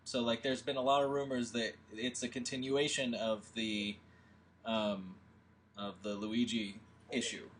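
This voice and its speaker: Rambling casual man, mid pitch. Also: flat delivery, measured pace, fairly bright tone, slight background noise, poor audio. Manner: rambling, hesitant, many fillers. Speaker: filmmaker leading a table read. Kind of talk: casual discussion.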